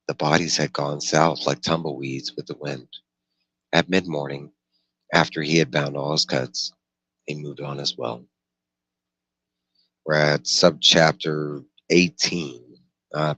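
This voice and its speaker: A man narrating a novel aloud in a somewhat halting way, occasionally stumbling over words. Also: rumbling low, bright, forceful tone, no echo, poor audio. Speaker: audiobook reader.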